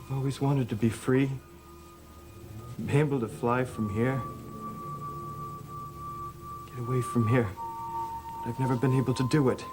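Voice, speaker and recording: An actor playing a guilt-ridden, gentle man of a cursed family in a gothic soap opera, stammering through his confessions. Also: mid-range voice, close to an everyday voice. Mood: wistful, anguished.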